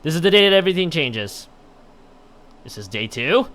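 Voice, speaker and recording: A man's voice: a little high, very animated, normal speed, bright, forceful tone. A man commentating on a game stream and reading game text aloud in a rambling way, often stumbling and correcting himself. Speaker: live streamer.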